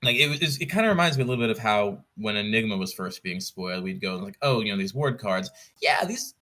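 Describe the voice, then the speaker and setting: A man with a fairly low but forward, bright voice talks as a podcast guest. He explains things casually, restarting phrases and correcting himself, with an enthusiast's eye for detail. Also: expressive, a little fast. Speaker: podcast guest, competitive card game player. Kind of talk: casual interview storytelling.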